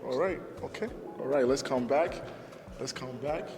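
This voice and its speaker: A man hosting a dating game show, briskly moving the contestants along. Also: medium pitch, long reverb tail. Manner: brisk, keeps things moving.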